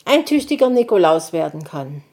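A woman voicing an excited little boy hedgehog who chatters eagerly. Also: lowish voice, normal speed.

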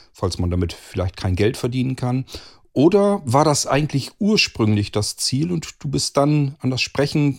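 A man's voice: medium pitch, a little mellow. A man working as an interviewer, asking long, wordy questions in a conversational way.

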